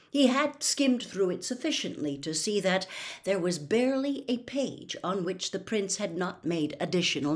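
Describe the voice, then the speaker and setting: A woman reading a story aloud in an expressive narrating style. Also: lowish voice. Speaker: audiobook reader.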